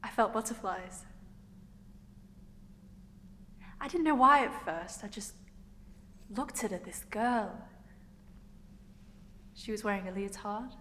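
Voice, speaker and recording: A woman's voice: medium pitch, bright, forceful tone. Woman acting emotional young woman in echoey hall. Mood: wistful, wondering. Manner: emotional, emphatic, pausing for effect. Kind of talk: dramatic stage monologue. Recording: echoey hall, noticeable room noise.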